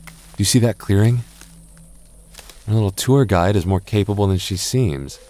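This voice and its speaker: A young man in a close-mic boyfriend roleplay, talking softly with halting restarts and light jokes. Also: fairly low pitch. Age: young adult. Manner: soft-spoken, halting, jokey and reassuring; slow measured reading of a rhyme.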